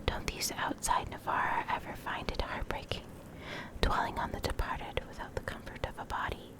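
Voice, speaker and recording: A woman reading in a soft, breathy whisper for ASMR, gentle and measured, close to the microphone. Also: poor audio. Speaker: ASMR creator, video host and reader. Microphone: close USB condenser microphone.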